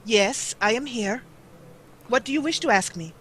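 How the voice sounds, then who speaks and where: A woman voicing a proper, haughty female adventurer from a fantasy game, speaking slowly and formally. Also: fairly high pitch, slightly rough. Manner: formal, slow, lofty speech.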